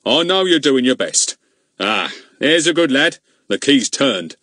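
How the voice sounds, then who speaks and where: A man's voice: medium pitch, very animated, a little slow, no room sound, clean studio sound, voice pushed up, full character voice. A man voicing a pompous, blustering middle-aged village policeman who roars and grumbles crossly. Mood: wheedling. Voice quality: loud, cross and bossy.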